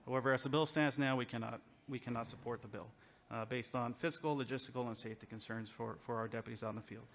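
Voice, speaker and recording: A man's voice: mid-range voice, fairly even intonation, fast, fairly bright tone, slight background noise. A man speaking as a law enforcement representative, giving formal testimony to a committee and arguing his case point by point with emphasis. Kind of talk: formal public testimony at a legislative hearing.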